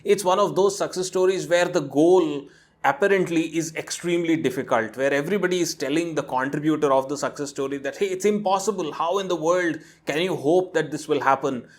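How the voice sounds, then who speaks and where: A man with a fairly high voice reads a viewer's letter aloud, clearly and earnestly. He stops now and then to add his own comments before he goes back to the text. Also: fairly quick. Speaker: host reading a viewer's letter. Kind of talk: narrated reading with commentary.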